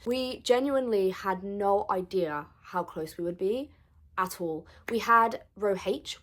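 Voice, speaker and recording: Young woman, hoarse and gravelly after losing her voice, excitable and gushing in a casual vlog. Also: medium pitch. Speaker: vlogger.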